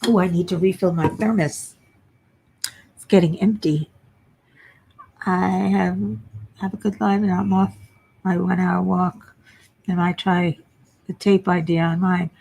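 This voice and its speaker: An older woman hosting a casual livestream from home, chatting and answering viewers, her voice at times a little low and raspy from a frog in her throat. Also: fairly even intonation, measured pace, pauses often, warm tone, rough recording. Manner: chatty, self-deprecating, reads chat names aloud. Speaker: livestream host. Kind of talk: casual chat reading viewer comments. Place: home workspace.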